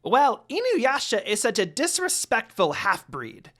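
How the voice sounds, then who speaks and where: A narrator voicing a small, indignant male demon servant who complains loudly in a fan fiction reading. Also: mid-range voice, wildly swooping pitch, a little slow, pitched above the performer's own voice, full character voice. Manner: loud, exclaiming, complaining.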